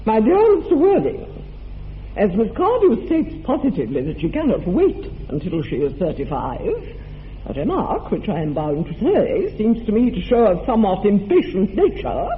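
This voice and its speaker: A man's voice: sits high, wildly swooping pitch, moderate pace. A man voicing a haughty, snobbish aristocratic older woman in a radio play, with a grand, sweeping delivery. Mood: grandly persuasive. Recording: thin narrow-band radio recording with faint hiss.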